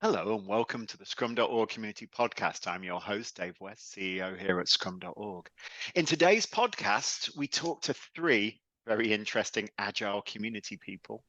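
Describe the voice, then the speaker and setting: A man hosting a podcast interview in a relaxed, chatty way, with plenty of fillers. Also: on the low side, normal speed, dry recording, poor audio. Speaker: podcast host. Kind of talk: casual interview chat.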